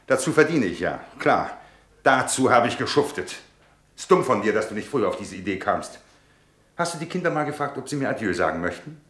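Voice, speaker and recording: A man's voice: medium pitch, very animated, fairly quick, fairly bright tone, roomy sound. An actor playing a bitter, disillusioned middle-aged businessman husband in a German radio drama, with a sarcastic, theatrical way of talking. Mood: scornful, sarcastic. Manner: sarcastic, rhetorical, theatrical delivery.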